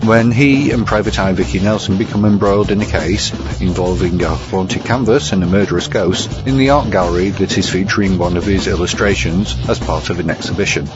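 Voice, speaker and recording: A man's voice: deep voice, brisk, few pauses. A man presenting a scripted review segment as a narrator. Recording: dull lo-fi recording under music. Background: guitar music.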